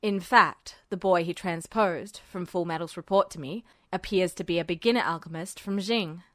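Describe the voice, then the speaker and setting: A woman voicing a calm, bored male officer in a podfic reading, speaking in a dry, unimpressed way. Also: fairly low pitch, moderate pace, no room sound, pitched below the performer's own voice, lightly acted. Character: bored, reasonable male military superior. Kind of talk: podfic reading of fan fiction.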